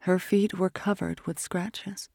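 A narrator reading a first-person literary story in a hushed, often whispered, measured manner. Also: mid-range voice, little pitch movement, husky, no echo, studio quality. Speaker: audiobook narrator.

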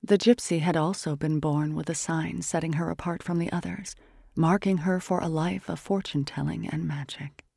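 Audiobook narrator, dark and husky tone, hushed delivery in a dry close recording. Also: medium pitch, fairly even intonation, no room sound. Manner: hushed, often whispered, tense and measured. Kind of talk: first-person literary audiobook narration.

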